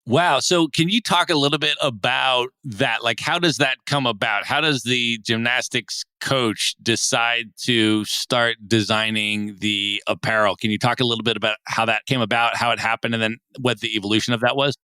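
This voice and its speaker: Bright, crisp-voiced male podcast host. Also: mid-range voice, steady tone, normal speed, hardly any pauses, no echo. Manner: enthusiastic, rephrases questions at length. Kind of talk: interview questions.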